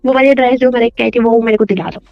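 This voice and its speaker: A woman's voice: a little high, rapid-fire, gravelly. A young woman arguing emotionally on a casual phone call, accusatory, insistent and repeating herself. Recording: dull lo-fi phone call recording.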